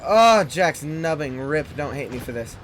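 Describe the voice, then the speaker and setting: A man hosting a gaming channel, reviewing a tank game in loose, fast, chatty commentary. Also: a little high, lively intonation, background noise.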